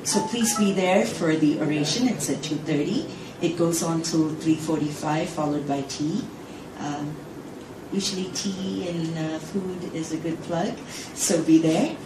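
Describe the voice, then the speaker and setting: A woman's voice: deep voice, measured pace. A woman with an American accent making casual event announcements. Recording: echoey hall, distant mic, audible noise.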